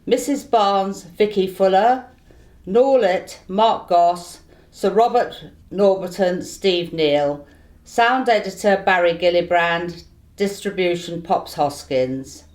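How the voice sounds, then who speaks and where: A British woman announces scripted credits for a radio drama. Her voice is slightly low and her delivery very slow. She keeps a formal, measured manner throughout. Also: a little mellow.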